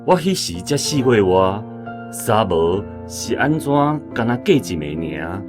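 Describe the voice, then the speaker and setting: Radio drama role: puzzled Taiwanese man reminiscing, conversational and expressive, music behind. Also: fairly low pitch, a little slow. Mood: bemused. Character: bemused man recalling his puzzled boyhood.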